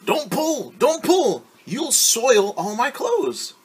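Man doing a whiny, high-pitched vain girl. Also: big pitch swings, takes it slowly, slightly rough, full character voice. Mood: indignant. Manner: pleading and protesting, repeats herself insistently. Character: vain, whiny young girl weighed down by finery.

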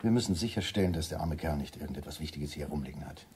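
An actor playing a businesslike, calculating secret service doctor in a radio drama. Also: male voice, rumbling low, expressive, quick pace, a little mellow, a little hiss or hum. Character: brisk, smooth-mannered male secret service doctor.

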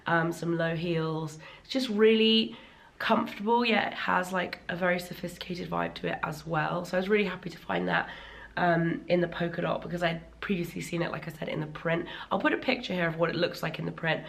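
A woman in her thirties chatting casually in a vlog from a bright living room, her voice still slightly worn after laryngitis, enthusiastic and full of ums. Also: lowish voice, fairly even intonation, a little fast, creaky. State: recovering from laryngitis, voice a little worn. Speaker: fashion vlogger. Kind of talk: casual sponsored haul vlog.

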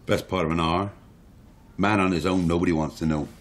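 A man's voice: low voice, fairly even intonation, a little fast, a little hiss or hum, natural voice. A man in a radio drama playing a guarded hitch-hiker being questioned, terse and offhand. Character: guarded, defensive male hitch-hiker under police questioning. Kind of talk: naturalistic radio drama acting.

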